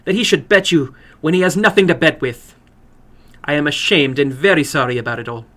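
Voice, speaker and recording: A man's voice: on the high side, a little fast, a bit bright, natural voice. A man voicing a small, rather old foreign woman in a dramatic story reading, apologetic, weary and emphatic. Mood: ashamed.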